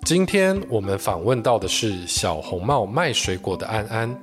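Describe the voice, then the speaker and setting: A woman's voice: a bass voice, big pitch swings, normal speed, soft background music. A woman with a Taiwanese Mandarin accent hosting a children's podcast, speaking in simple, friendly phrases aimed at young children. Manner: gentle questions in simple, child-directed phrasing. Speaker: children's story podcast host.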